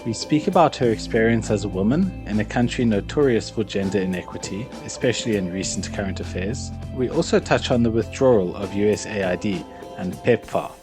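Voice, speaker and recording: A man with a low voice hosts a podcast, speaking in a measured, formal way as he reads his introduction. Music plays clearly behind him. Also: expressive. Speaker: podcast host. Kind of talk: scripted podcast intro and interview questions.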